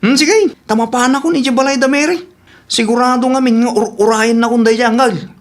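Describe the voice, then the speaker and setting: A woman's voice: a little high, fairly quick, close to an everyday voice. A woman playing an eager, teasing bachelor nephew in a comedic radio drama, with a Filipino accent and a playful, chuckling manner. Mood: playful banter.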